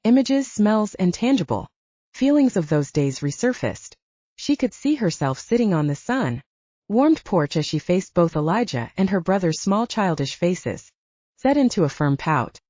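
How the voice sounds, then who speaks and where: Mellow, low-voiced woman narrating fiction. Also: very animated, normal speed, no room sound, highs cut off. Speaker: audiobook narrator.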